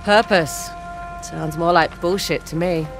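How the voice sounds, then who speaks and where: An actress playing a cocky, playful treasure hunter in a video game, with a wry, teasing way of talking. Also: female voice, fairly low pitch, fairly even intonation, unhurried. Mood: wry, curious. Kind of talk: video game cutscene acting. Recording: music and vehicle noise behind.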